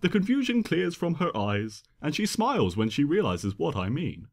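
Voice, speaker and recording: A man reading fan fiction as an expressive, theatrical first-person narration. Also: a little high, normal speed. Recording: long hall reverb.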